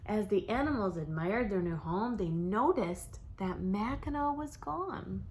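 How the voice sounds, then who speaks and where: Expressive woman reading a children's story, noisy background. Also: mid-range voice. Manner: dramatic, with drawn-out words and hushed whispers. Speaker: storybook reader for preschoolers.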